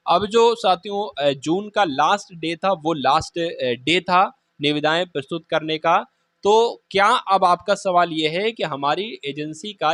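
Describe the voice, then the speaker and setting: A man's voice: high voice, a bit bright, no echo. A man talks informally like a coaching teacher, explaining and reassuring students in colloquial phrases with frequent check-ins.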